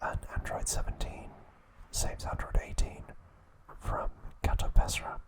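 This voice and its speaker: A man narrating trivia as an ASMR host in a breathy, hushed whisper, in short measured phrases.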